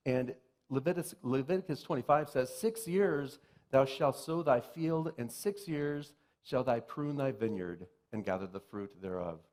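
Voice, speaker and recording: A man's voice: mid-range voice, animated, a little mellow. Chatty self-mocking male pastor. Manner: chatty, self-deprecating, many restarts and asides; reads scripture slowly and solemnly. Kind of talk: sermon.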